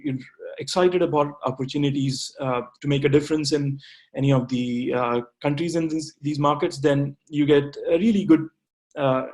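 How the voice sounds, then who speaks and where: A man, speaking as an MBA graduate in a webinar, pausing often on "uh" and restarting phrases. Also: medium pitch, a little mellow. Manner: hesitant, with frequent "uh" fillers and restarts. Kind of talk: webinar talk answering questions.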